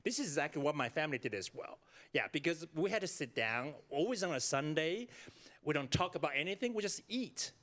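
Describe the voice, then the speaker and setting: A middle-aged man with a Taiwanese accent, speaking as an author at a library talk, animated and full of fillers and restarts. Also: fairly high pitch, a little fast. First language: Taiwanese Mandarin. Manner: animated, many fillers and restarts, laughs easily. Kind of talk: casual author talk on stage. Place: library event room.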